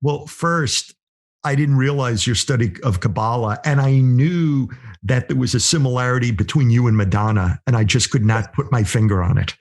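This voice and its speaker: A man's voice: medium pitch, moderate pace. A man co-hosting a casual podcast, musing aloud, asking questions and teasing his co-host. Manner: musing, asks questions, teases.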